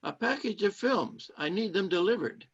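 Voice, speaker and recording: A man's voice: high voice, lively intonation, moderate pace, slightly rough, full character voice. Man acting secretive husband, swooping voice. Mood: guarded, suspicious. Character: controlling, secretive saboteur husband posing as kindly cinema owner. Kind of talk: British-set radio play acting. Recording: dull lo-fi streamed audio.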